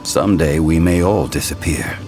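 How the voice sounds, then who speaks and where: A man voicing an ancient god of earth in mortal guise for a video game, speaking in a measured, formal, reflective and dignified manner. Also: rumbling low, darkish tone. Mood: reflective, solemn. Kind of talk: scripted video game dialogue. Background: music.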